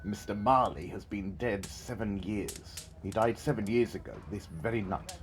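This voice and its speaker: A man's voice: on the low side, moderate pace, background noise, lightly acted. An actor playing a miserly, scornful old businessman with a grating voice in a Victorian audio drama. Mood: gruff, cold. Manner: curt, irritable, scornful.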